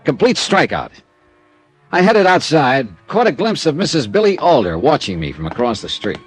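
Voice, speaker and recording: An actor playing a tough, wry insurance investigator in a classic radio drama, clipped and sardonic. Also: male voice, fairly high pitch, sing-song, normal speed, husky. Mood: dry narrating. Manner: clipped, sardonic, hard-boiled. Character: tough, wry freelance insurance investigator, narrating his case. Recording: vintage radio drama with music.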